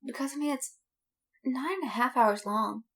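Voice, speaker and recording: A woman's voice: sits high, normal speed, fairly bright tone. A woman in casual podcast chat as a co-host, informal and slangy, with an enthusiastic way of talking.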